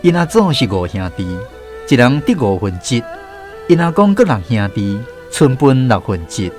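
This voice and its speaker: A man's voice: mid-range voice, big pitch swings, moderate pace, a little mellow, soft background music. A man with a Taiwanese accent, a radio host and storyteller reading scripted narration.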